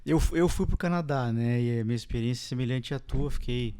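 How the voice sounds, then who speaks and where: Brazilian male podcast host, smooth and clear voice, conversational. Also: medium pitch, brisk, warm tone. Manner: friendly, conversational, shares own stories. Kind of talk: casual interview chat.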